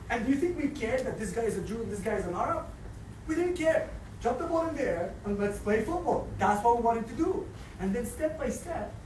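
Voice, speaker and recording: A man in his thirties from Israel, a native Arabic speaker, giving a lively, joking lecture to a live audience. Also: very high voice. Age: 30s. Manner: animated, joking, addresses crowd directly. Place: large hall with audience. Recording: echoey hall, distant mic, audience noise.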